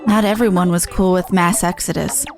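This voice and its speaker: Girl putting on a low dry deadpan game character, young and unimpressed, music behind. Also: moderate pace, natural voice.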